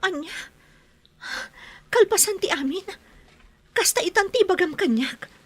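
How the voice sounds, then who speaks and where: A woman's voice: very high-pitched, expressive, a little slow, a bit bright, dry recording, exaggerated voice. An actress playing an earnest young girlfriend, wounded and proud, in a radio drama with an Ilocano Filipino accent. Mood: hurt, pleading.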